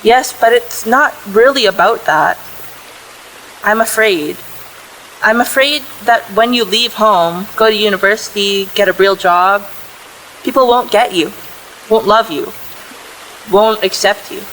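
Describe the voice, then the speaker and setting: A woman's voice: medium pitch, measured pace, pauses often, fairly bright tone, a little hiss or hum, lightly acted, poor audio. A woman playing a loving, worried mother of a teenager in a contemporary audio play, speaking earnestly and tenderly.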